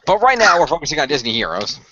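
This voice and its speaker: Bright-voiced man hosting, dull close recording. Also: fairly high pitch, big pitch swings, quick pace, a little hiss or hum. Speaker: host and narrator of a fan radio play. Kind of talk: casual hosting and story narration.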